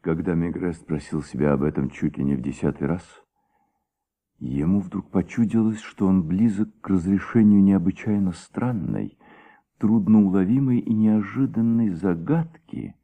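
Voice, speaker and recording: An actor narrating a detective radio play in a measured, suspenseful, dramatic way on an old recording. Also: male voice, low voice, warm tone. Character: thoughtful male storyteller of a detective tale.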